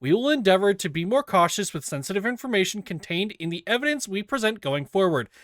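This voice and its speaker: A man reading a written document aloud in a deliberate, scripted narration style. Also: sits high, big pitch swings, fairly bright tone, dry recording, clean studio sound. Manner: deliberate, spells out quote marks aloud. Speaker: reader of an investigation document.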